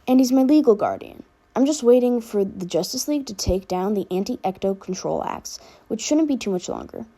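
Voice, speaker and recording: Sardonic, affectionate big-brother teen boy, female reader, casual rambling delivery, soft dark tone, slightly raspy. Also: fairly high pitch, expressive, brisk. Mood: earnest, teasing. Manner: casual, rambling teen talk with filler words. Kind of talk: fan fiction audiobook character dialogue.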